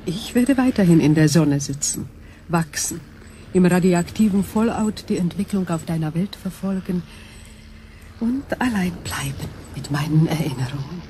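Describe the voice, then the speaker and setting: Low female voice, actress as ancient crystal creature, gentle, lonely, measured, sci-fi radio play. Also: animated, a little hiss or hum, lightly acted. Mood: sad, resigned. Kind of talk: sci-fi radio drama acting.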